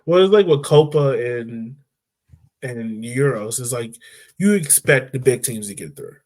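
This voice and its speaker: A man's voice: mid-range voice, a little slow. This is a man hosting a casual sports podcast. His voice sits in an ordinary middle range. He talks in a loose, easygoing way, leaning on fillers as he goes. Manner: relaxed, loose, lots of fillers like "um" and "like". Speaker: podcast host. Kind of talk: casual soccer podcast chat.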